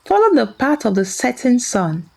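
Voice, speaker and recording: A woman's voice: fairly high pitch, very animated, moderate pace, vocal fry, a little mellow, breathy edge, exaggerated voice. A woman voicing a wise, solemn mystical bird in a folktale. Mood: solemn guidance. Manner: melodic, solemn. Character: wise mystical bird guiding a young traveller.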